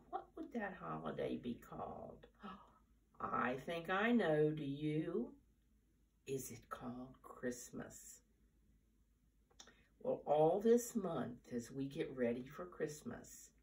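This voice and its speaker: An older American woman in her seventies or beyond talks and reads to preschoolers at storytime, in simple, deliberate, teacher-like phrases, recorded from a few steps away in a living room. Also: deep voice, sing-song, vocal fry, darkish tone, far from the mic, poor audio. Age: 70s or older. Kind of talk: storytime talk and picture-book reading for young children. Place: living room at home, indoors. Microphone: camera a few steps away.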